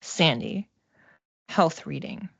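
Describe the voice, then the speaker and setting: A woman giving a casual live psychic reading, speaking conversationally and tentatively, thinking aloud with pauses as she explains. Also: low voice, lively intonation, gravelly, fairly bright tone, breathy edge, no echo, highs cut off.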